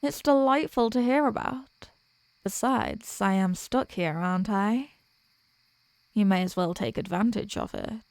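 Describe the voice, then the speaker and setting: Woman acting as a female archdemon, mid-pitched, coy, smug, worldly, teasingly formal, roleplay audio drama, very dry close sound. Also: a little slow, gravelly, a little mellow, no echo. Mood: teasing, intrigued.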